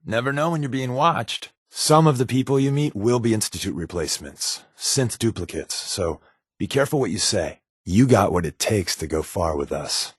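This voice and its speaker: A secretive male undercover operative from a video game. His voice sits slightly low, with a glib, wisecracking, conversational delivery that stays guarded. The sound is close and dry, with no room on it. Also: animated, moderate pace, breathy edge, no echo. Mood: wry, teasing. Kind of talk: video game companion dialogue.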